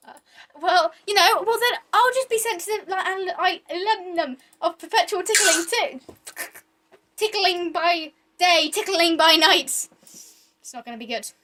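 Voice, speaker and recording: A woman playing a reluctant young queen in a church skit, talking in a hesitant, quiet, playful way. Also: very high-pitched, animated, normal speed. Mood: worried.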